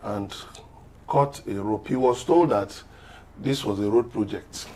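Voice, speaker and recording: A man's voice: on the low side, a little slow, darkish tone. A man with a Nigerian accent speaking as a panel guest in a political discussion, emphatic and urging in manner. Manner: emphatic, exhortative.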